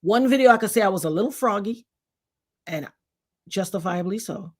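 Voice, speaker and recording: A woman's voice: medium pitch, normal speed. Woman, 50s, channel host, casual and preachy, lectures her audience directly. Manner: animated, preachy, addresses viewers as y'all. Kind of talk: casual talk to camera.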